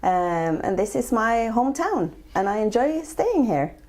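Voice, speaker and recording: A woman's voice: fairly high pitch, sing-song, a little slow, a little mellow. A woman in her forties from Norway, speaking English with a Norwegian accent, answering interview questions with frequent hesitations. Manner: hesitant, many filler sounds. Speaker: guest, chef and entrepreneur.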